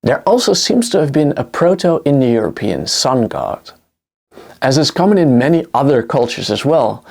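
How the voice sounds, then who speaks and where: Dutch guy narrating a history lesson, bit of gravel in his voice, pitch jumps around a lot. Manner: explanatory, lively intonation. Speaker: history lecturer. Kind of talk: scripted educational narration.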